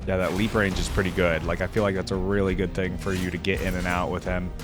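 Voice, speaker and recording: A man's voice: deep voice, level tone, fast talker. A man giving casual, informal reaction commentary as a video host, musing and thinking aloud as he goes. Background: game music.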